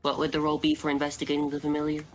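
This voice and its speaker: A player in a tabletop game, asking about rolls and making quick joking remarks. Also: mid-range voice, monotone, faint music behind. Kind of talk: casual tabletop chat.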